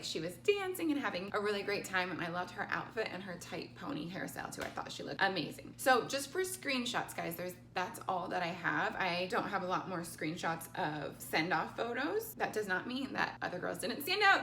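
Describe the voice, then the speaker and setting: Young woman vlogger, bright and chatty, gushing over looks, slightly echoey room. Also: medium pitch, slight background noise. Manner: gushing, animated, with lots of "like" and "I loved". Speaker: pageant vlogger and coach. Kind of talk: casual chatty commentary to camera.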